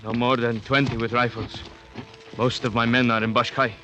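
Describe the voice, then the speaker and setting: A man's voice: medium pitch, flat delivery, moderate pace, natural voice, poor audio. An actor playing a cautious, loyal native chief serving a king, speaking formally and respectfully in 1950s radio drama style. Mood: anxious. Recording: vintage 1950s radio broadcast, loud music.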